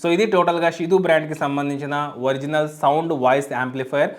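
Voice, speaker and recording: A man's voice: fairly high pitch, fairly even intonation, fast talker. A man explaining a product step by step as a tech reviewer, in an unboxing video style. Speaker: YouTube tech reviewer and host.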